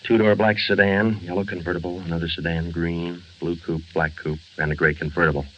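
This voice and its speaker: An actor playing a terse, dogged American police sergeant, clipped and matter-of-fact, in 1940s radio drama style. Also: male voice, sits low, little pitch movement, brisk, slight background noise, close to an everyday voice. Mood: businesslike. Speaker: lead actor and narrator. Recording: dull vintage 1940s radio recording.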